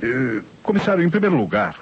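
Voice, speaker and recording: A Brazilian actor playing a methodical, skeptical police inspector in an old radio mystery, probing and reasoning aloud with authority. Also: male voice, fairly high pitch, huge pitch swings, fairly quick, slight background noise. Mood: reasoning, commanding. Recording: muffled old radio recording.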